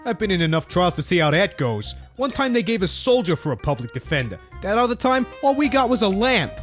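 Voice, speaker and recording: Cartoon dub: young wise guy, voiced by a man, high-pitched, cocky griping, slangy delivery. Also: sing-song, a little fast, soft background music. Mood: sarcastic. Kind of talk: comic dub voice acting.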